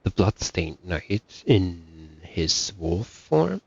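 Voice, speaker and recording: A man's voice: low-pitched, very animated, very slow, gravelly, slightly rough. A man streaming a game, reacting live in a casual way. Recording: dull lo-fi mic.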